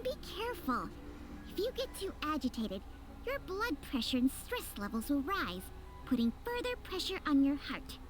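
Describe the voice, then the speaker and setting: Wise snake companion, high-pitched, very animated, measured and cautioning, video game style, music underneath. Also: female voice.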